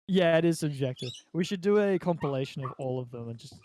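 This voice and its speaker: A man's voice: fairly high pitch, animated, fast talker, darkish tone, slight background noise. A young man with an Australian accent hosting a casual group podcast chat. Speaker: podcast host.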